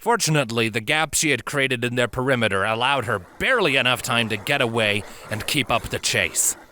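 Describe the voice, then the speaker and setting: A man reading a story as a measured, expressive narrator in a dramatic scripted style. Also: mid-range voice, a little hiss or hum. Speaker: fan fiction narrator. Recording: prominent music and effects behind voice. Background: background music, sound effects.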